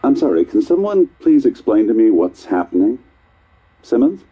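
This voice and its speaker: An actor playing a chatty, distracted scientist in a video game, husky and encouraging in a patronising way as he supervises tests. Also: lowish voice, very animated, normal speed, mellow, lo-fi sound, soft background music. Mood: distracted, put out. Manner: patronising, encouraging, hesitant asides. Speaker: test supervisor. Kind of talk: game voice acting.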